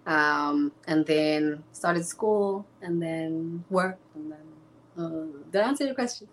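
A young woman from Zimbabwe, a guest in an interview, talking in a lively, chatty way with many restarts. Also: low voice, slow, low-quality recording. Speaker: interview guest, former reality show contestant. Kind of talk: casual chat.

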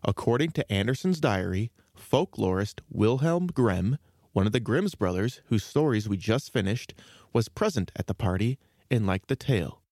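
A man hosting a children's story podcast, reading a scripted introduction in a measured, presentational way. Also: lowish voice, expressive, darkish tone, no room sound, clean studio sound. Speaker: podcast host.

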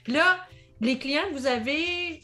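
A woman's voice: high voice, a little slow, sharp tone, soft background music. A woman hosting a podcast, asking chatty questions and giving short reactions. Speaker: podcast host. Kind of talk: interview questions.

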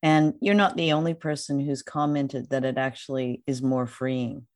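A woman's voice: very deep voice, steady tone, moderate pace, a little mellow, no room sound, clean studio sound. A woman interviewing a guest as podcast host, in a conversational style with hesitant phrasing.

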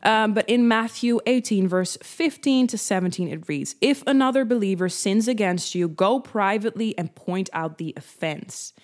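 A young woman with a Dutch accent talks English, her second language. Her devotional talk to camera is lively and chatty, and it keeps reaching out to the listener with little asides. Her pitch sits in a middle range for a woman. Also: moderate pace, hardly any pauses. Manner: animated, acts out her own reactions with rising pitch; conversational, addresses viewers directly.

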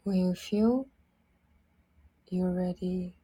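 A woman guides a sleep meditation in a soft, very breathy voice that often slips toward a whisper. She speaks extremely slowly, leaving long pauses between short, gentle phrases. Her tone is dark and mellow, soothing throughout. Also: lowish voice, steady tone. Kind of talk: guided sleep meditation, slow instructional narration.

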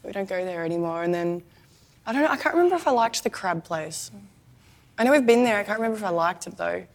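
Hoarse woman with a chesty cough. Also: medium pitch, lively intonation, fast talker. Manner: hesitant, trailing off. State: chesty cough, losing her voice. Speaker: podcast co-host. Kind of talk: casual chat.

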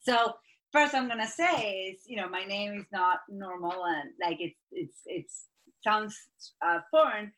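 Argentine lady coach chatting on a podcast, spanish accent, lots of "you know" and "like", mid pitch. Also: a little slow. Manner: fluent and animated, with fillers like "you know" and "like". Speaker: guest, executive coach. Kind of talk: podcast interview.

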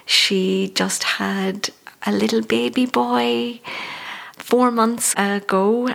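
An Irish woman, an actress from Ireland, talking thoughtfully in an interview and laughing softly between thoughts. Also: mid-range voice, creaky. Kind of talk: reflective conversational interview.

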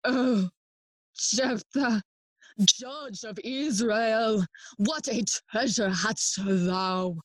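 A brooding, mocking young prince in a Shakespearean audio play. The voice is fairly high and takes its time over each theatrical phrase, recorded close and dry. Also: female voice, no room sound, poor audio. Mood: wry, welcoming. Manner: theatrical, deliberate verse delivery. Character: Prince Hamlet, brooding, mocking young nobleman feigning madness. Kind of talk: Shakespearean audio play acting, bilingual English and Spanish.

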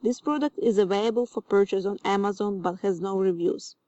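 Mellow woman from Ukraine, phone message. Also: medium pitch, dry recording, lo-fi sound. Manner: careful, measured, reads a prepared question. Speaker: listener calling in a question. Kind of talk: recorded voice message.

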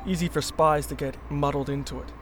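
A man's voice: fairly high pitch, fairly even intonation, faint music behind, lightly acted. A man playing an injured, stubborn rebel agent in a sci-fi radio drama, reasoning insistently. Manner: insistent, measured explaining.

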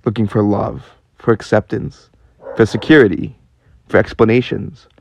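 Man playing a creaky-voiced, world-weary sceptic. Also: on the low side, fairly even intonation, normal speed, slight background noise. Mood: weary, downcast. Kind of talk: radio play acting.

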